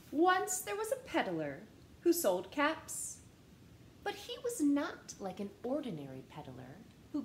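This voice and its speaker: A woman in her thirties reading a children's picture book aloud in a living room, with an animated, sing-song manner that speaks straight to young listeners. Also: mid-range voice, roomy sound, a little hiss or hum. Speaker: storytime reader. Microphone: camera in front at close range.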